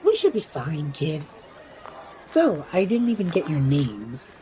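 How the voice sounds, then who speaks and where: Woman acting cocky young student guy, slightly low, animated, slangy, sarcastic, fantasy audio drama. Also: measured pace, over music, a crowd in the background, heavily acted. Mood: casual, flippant. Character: cocky, flippant young male student adventurer, a magic user.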